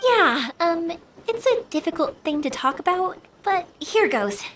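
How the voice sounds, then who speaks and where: A woman's voice: very high voice, big pitch swings, measured pace, far from the mic, slight background noise, exaggerated voice. An actress playing a cheerful, caring young woman who makes fireworks in a video game, speaking earnestly. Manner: bright-spirited, earnest.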